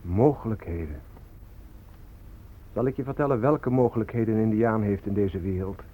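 A man's voice: on the low side, normal speed, natural voice. Man acting a bitter activist, muffled recording. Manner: sardonic, accusing. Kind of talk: Dutch radio play acting. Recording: dull, lo-fi radio recording.

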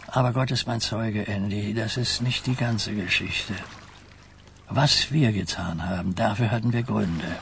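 An actor playing an aging mafia boss in a radio play, rueful, halting and confiding. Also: male voice, on the low side, gravelly, fairly bright tone, a little hiss or hum. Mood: troubled. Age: older.

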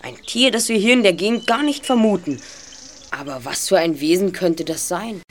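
A woman's voice: on the low side, animated, moderate pace, a little hiss or hum, close to an everyday voice. A woman playing a curious, polite and earnest young detective in a German audio drama. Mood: puzzled, reasoning.

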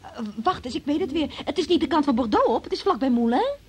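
Naive, high-voiced frightened young woman. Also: very animated, fast talker. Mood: timid, evasive. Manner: hesitant, defensive, evasive. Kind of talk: Dutch radio play acting.

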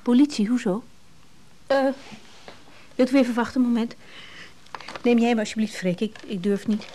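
A woman's voice: on the high side, normal speed, dark tone, a little hiss or hum, natural voice. An actress playing an anxious married woman in a radio drama, tender, insistent and emotional, with hesitations. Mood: alarmed. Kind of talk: naturalistic Dutch radio drama acting.

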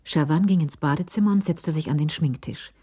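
A woman narrates a crime radio play with literary care. Her voice is very low and dark, soft at the edges, and she moves through the story in a measured way. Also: slightly rough.

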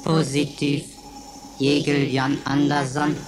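A woman's voice: extremely low pitch, completely flat delivery, slow pace, noisy background. An actress playing an emotionless female computer in a radio play, announcing in clipped, formulaic phrases. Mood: flat, procedural. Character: emotionless female computer running roll calls and quizzes.

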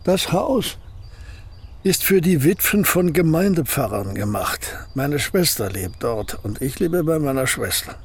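A man's voice: fairly high pitch, very animated, a little slow, breathy edge, exaggerated voice. An older man acting in a radio drama, playing a gloomy aging painter who talks in a curt, dry and scornful way. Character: gloomy aging male painter, bitter and sardonic.

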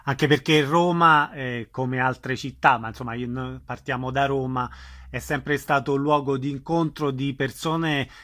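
A man's voice: medium pitch, steady tone, unhurried, fairly bright tone. A man hosting a conversational radio interview.

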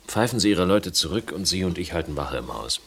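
A man's voice: deep voice, expressive, brisk, slightly rough, exaggerated voice. An actor playing a world-weary, wry investigator who narrates his own case in a radio crime drama. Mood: terse, determined. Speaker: narrator and protagonist.

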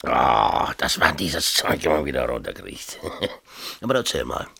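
An actor playing a middle-aged mayor in a 1970s radio drama, chummy, colloquial and patronizing while evasive. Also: male voice, very deep voice, very animated, measured pace, creaky, bright, forceful tone, slightly rough, exaggerated voice. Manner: familiar, colloquial, brushing off with hearty chumminess.

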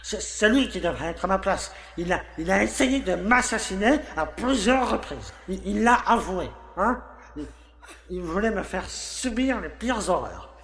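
A man's voice: sits high, animated, measured pace, gravelly, a bit bright, exaggerated voice. An actor playing an indignant, self-pitying village mayor who stammers as he protests his innocence in a French audio drama. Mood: frightened, accusing. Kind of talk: French audio fiction acting. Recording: roomy, echoing sound.

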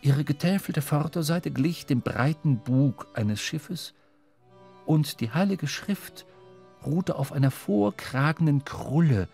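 A man playing a reflective seafaring storyteller, narrating a radio play in a measured, literary way. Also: on the high side, lively intonation, gravelly, darkish tone, faint music behind, exaggerated voice.

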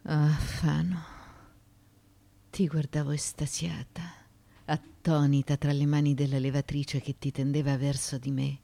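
A woman's voice: low-pitched, flat delivery, unhurried, creaky, warm tone, full character voice. An actress playing an ancient Greek mother haunted by her childhood, in a theatrical radio monologue of broken musing. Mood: haunted, reminiscing.

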